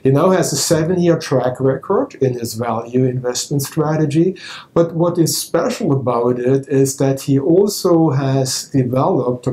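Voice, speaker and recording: A man's voice: medium pitch, dark tone. A middle-aged man hosting an interview, introducing his guest and asking questions in a formal, polished way. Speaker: interviewer host. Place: office with wooden chairs, indoors. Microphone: lapel mic. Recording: roomy office echo.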